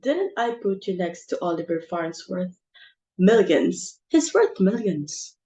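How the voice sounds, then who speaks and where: A woman's voice: fairly low pitch, very animated, takes it slowly, mellow, full character voice. A woman playing a bossy, social-climbing society mother with theatrical exclamations in an amateur stage comedy reading. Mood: indignant.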